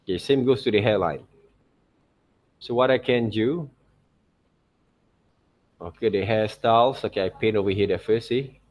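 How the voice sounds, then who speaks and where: Halting, low-voiced man teaching drawing. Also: darkish tone. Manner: halting, repetitive phrasing with frequent "okay, right, see" checks. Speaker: art teacher giving a tutorial. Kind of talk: unscripted step-by-step drawing demonstration.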